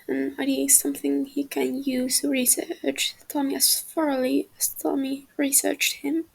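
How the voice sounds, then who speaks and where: A woman narrating a fan fiction audiobook in a soft, hushed, half-whispered voice. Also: high voice, vocal fry, low-quality recording.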